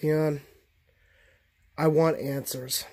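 A man hosting a casual improvised plush puppet skit, with halting, repetitive delivery. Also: on the high side, little pitch movement, warm tone. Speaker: host of puppet reaction videos. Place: carpeted bedroom.

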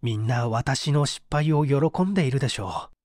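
A man's voice: mid-range voice, expressive, moderate pace, a little mellow, dry recording, studio quality, lightly acted. An actor playing a bitter, defensive painter in his thirties under interrogation, aggrieved and self-justifying, in a radio drama. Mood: defensive, resentful. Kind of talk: dramatized mystery reading.

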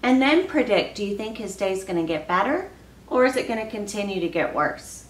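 A woman reading coach giving an expressive children's read-aloud, pausing to put questions to young listeners. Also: mid-range voice. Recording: roomy, distant mic, faint background noise.

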